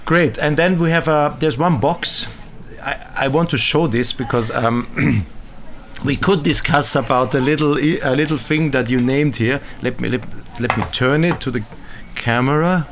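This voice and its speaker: A man from Austria with an Austrian German accent, whose first language is German, conducting a casual, enthusiastic interview and often jumping in with quick agreement. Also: medium pitch, fairly bright tone, a little hiss or hum. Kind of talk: casual trade-fair interview. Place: busy exhibition hall.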